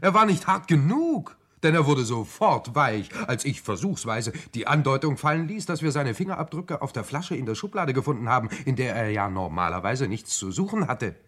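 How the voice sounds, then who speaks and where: Husky, lively police sergeant. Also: male voice, medium pitch, normal speed, few pauses. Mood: knowing, pointed. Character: knowing, matter-of-fact British police sergeant, the inspector's assistant. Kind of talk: German radio crime drama acting.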